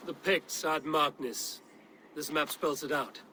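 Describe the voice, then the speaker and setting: A resolute Viking war leader, a man, in a cinematic game. His voice is somewhat high and bright with a hard edge. He speaks directly, in a commanding way. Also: fairly even intonation, a little slow, slight background noise. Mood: firm. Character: bold, forthright Viking raid leader, resolute and watchful. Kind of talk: cinematic video game dialogue.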